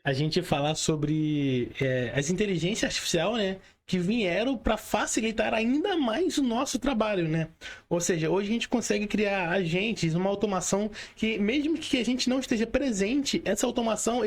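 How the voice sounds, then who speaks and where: A man's voice: sits high, normal speed. A Brazilian man speaking as a podcast host, interviewing guests conversationally with an enthusiastic manner.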